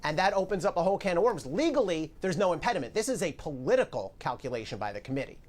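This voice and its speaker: A man's voice: a little high, sing-song, fast. A man giving live legal analysis on a news show, explaining step by step with emphasis.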